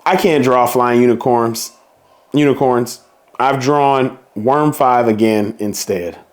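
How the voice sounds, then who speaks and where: A man reading a children's picture book aloud in a slow, playful storybook manner. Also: mid-range voice.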